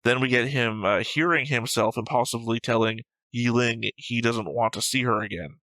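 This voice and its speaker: A man with a bright, sharp voice in a very dry recording. He talks like a co-host in a casual debate, picking points apart in a matter-of-fact, argumentative manner. His pitch sits in the middle. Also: normal speed, no echo, low-quality recording. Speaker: co-host of an anime reaction show. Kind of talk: casual analytical debate, discussion.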